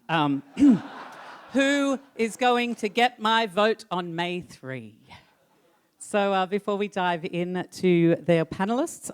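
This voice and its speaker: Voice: medium pitch, clean tone. A playful, self-mocking host opening a live community forum from a stage. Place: stage in a hall.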